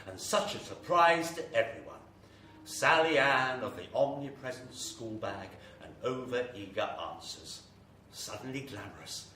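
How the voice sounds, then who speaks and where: An actor playing a suave horror storyteller, narrating in a measured, theatrical way that savours words. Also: male voice, medium pitch, a bit bright. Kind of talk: dramatic scripted horror narration. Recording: echoey, distant live stage, faint noise.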